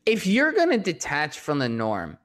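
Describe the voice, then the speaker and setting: A man whose voice sits unusually high for a guy. He talks in a shy, meandering way, circling back on his sentences with lots of restarts and fillers. The tone is loose, casual conversation. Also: wildly swooping pitch, moderate pace, fairly bright tone. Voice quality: distinctly high-pitched voice.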